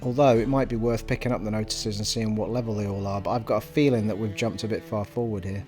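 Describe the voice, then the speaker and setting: A middle-aged man from Scotland with a generic English accent, chatting and thinking out loud as he streams a game. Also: lowish voice, steady tone, fast, faint music behind. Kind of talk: live gameplay commentary. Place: home streaming room.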